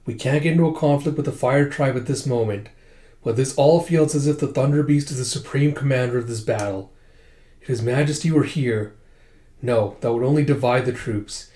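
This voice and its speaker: Man as a grave, mellow army general. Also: medium pitch, moderate pace, lightly acted. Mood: brooding. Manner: grave, deliberating. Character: wary, brooding male army general. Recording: roomy, echoing sound.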